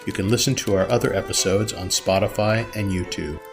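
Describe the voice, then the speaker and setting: A man's voice: low-pitched, fairly even intonation, brisk, music in the background. A man reading scripted closing credits as a podcast announcer.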